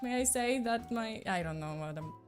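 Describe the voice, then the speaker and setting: A woman's voice: fairly high pitch, expressive, moderate pace, faint music behind. A young woman with a slight mixed accent, not a native English speaker, rambling in a casual live-stream chat with lots of fillers. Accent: slight mixed non-native.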